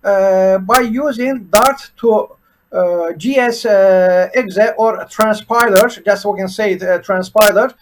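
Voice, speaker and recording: A man with a Turkish accent and a fairly high voice, hosting his own programming channel. He talks haltingly, filling almost every pause with "uh" while he shares his screen. A faint hiss sits under the recording. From Turkey. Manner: halting, with constant "uh" fillers between words. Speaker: programming teacher and channel host. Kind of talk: unscripted screen-share presentation.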